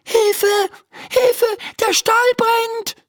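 A woman voicing a young girl in a children's story, high and youthful, exclamatory and emphatic. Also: very animated, takes it slowly, heavily acted. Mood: panicked shouting. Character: Laura, a young girl, alarmed then bossy in her dream.

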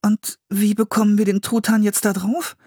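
Man acting sensible young sister, high husky voice, eager, insistent, children's audiobook. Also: level tone, moderate pace, darkish tone, no echo, studio quality. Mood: curious.

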